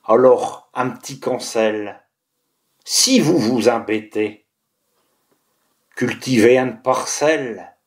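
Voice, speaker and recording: A man's voice: medium pitch, takes it slowly, long pauses. A man with a Picard accent from the Tournai area, reciting a monologue in dialect. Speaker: monologue performer.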